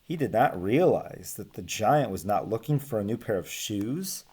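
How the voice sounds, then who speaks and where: A young man reading a children's storybook aloud in an expressive, deliberate way. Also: on the low side, slightly rough. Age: young adult. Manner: animated, deliberate, asks listeners questions. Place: small room with bookshelves.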